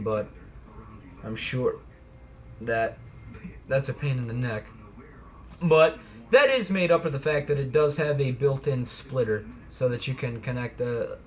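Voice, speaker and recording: A man's voice: fairly high pitch, slight background noise. A man giving a casual, folksy and playful product demonstration in a homemade video. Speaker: vlogger presenting vintage electronics.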